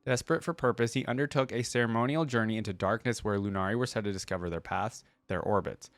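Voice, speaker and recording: A man hosting a reaction video, casually commenting and reading lore aloud, thinking out loud with informal asides. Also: lowish voice, fast talker, no room sound. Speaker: YouTube reaction host.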